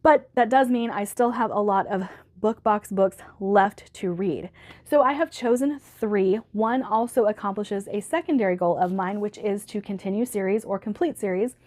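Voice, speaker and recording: Woman, book vlogger, casual chat, slightly hoarse, upbeat, medium pitch. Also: normal speed, a little mellow. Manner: chatty, upbeat, laughs often.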